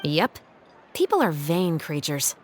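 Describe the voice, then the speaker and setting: An actress playing a stern, dry young woman captain of a patrol in a video game, speaking in a measured, official manner. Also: deep voice, very animated, slight background noise, heavily acted. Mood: matter-of-fact. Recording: game music behind the voice.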